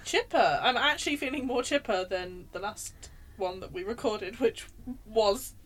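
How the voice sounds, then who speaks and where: A woman co-hosting a podcast with a loud voice, teasing her co-host and laughing often. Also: medium pitch, normal speed. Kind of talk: casual chat.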